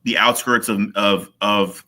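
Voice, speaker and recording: A man's voice: fairly low pitch, steady tone, unhurried, sharp tone. A man from Virginia, a guest author in an interview, who talks in a thoughtful, hesitant way with many fillers. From Virginia, USA. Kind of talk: casual interview.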